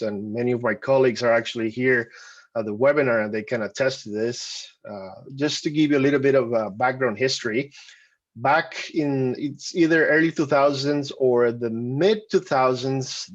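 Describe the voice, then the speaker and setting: A man from Costa Rica presenting a webinar, conversational and halting with frequent restarts. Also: mid-range voice, highs cut off. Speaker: webinar presenter, program coordinator.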